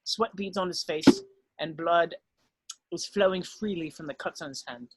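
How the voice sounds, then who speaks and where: A man's voice: fairly high pitch, normal speed, slightly rough, no room sound, poor audio. A man narrating, reading screenplay stage directions aloud at a script table read.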